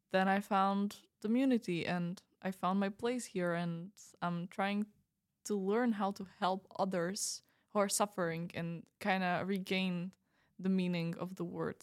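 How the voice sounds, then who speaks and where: Actress as a subdued runaway woman on a radio drama, slow halting talk, dry close sound. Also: medium pitch, no echo, natural voice. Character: subdued former runaway woman who found a community. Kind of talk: fiction podcast roleplay as confessional interview.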